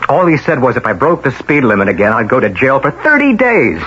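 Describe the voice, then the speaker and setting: A man's voice: mid-range voice, wildly swooping pitch, fairly quick, a bit bright, dull audio. An American actor playing a defiant, argumentative man who questions authority in a 1970s radio drama. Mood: defiant, indignant. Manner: argumentative, insistent. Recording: band-limited 1970s radio broadcast, some noise.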